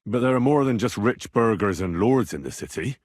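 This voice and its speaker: A stubborn young investigator in a video game. His voice is slightly rough and moves freely up and down as he probes with earnest, plain-spoken questions. The sound is dry and close, with no room around it. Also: fairly low pitch, expressive, a little fast, dry recording. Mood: businesslike. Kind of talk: video game character dialogue.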